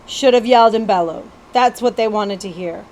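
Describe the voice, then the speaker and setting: A woman voicing a frank, streetwise boy who talks in rough slang in a children's storybook. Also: mid-range voice, normal speed, darkish tone, slight background noise, lightly acted. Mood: urging. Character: streetwise, scrappy orphan boy, frank and wry.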